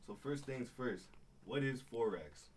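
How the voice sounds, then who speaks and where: A man's voice: medium pitch, expressive, measured pace, a little mellow. A young man hosting a casual interview, slangy and easygoing, often repeating short acknowledgements. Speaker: podcast host. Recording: distant mic with faint background noise.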